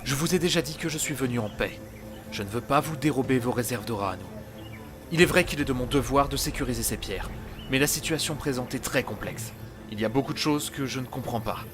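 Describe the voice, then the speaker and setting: An actor playing a seasoned, shrewd dimensional traveler in an audio drama, articulate and condescending, easily exasperated. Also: male voice, mid-range voice, a little fast, natural voice. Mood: calm, firm. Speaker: lead character of an audio drama. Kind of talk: French audio drama acting. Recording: music under the voice.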